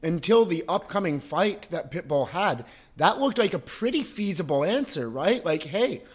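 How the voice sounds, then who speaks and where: A man with a somewhat high voice hosts a casual podcast. He laughs easily and talks through long, winding questions to his guest. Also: animated, normal speed, breathy edge. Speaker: podcast host.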